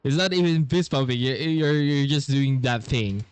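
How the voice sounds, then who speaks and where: A man's voice: medium pitch, moderate pace, soft background music. A man giving casual playthrough commentary as a game streamer, repeating himself in hesitant phrases.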